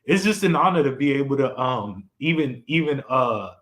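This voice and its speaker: A man's voice: medium pitch, animated, moderate pace, fairly bright tone. Laid-back man hosting in an echoey room. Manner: laid-back and rambling, with many fillers and restarts. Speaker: podcast host. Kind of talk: casual live-stream chat.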